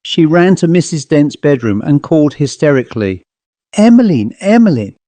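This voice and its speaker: A man narrating a literary ghost story in a measured, expressive storytelling manner. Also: a little high, dry recording. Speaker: audiobook narrator.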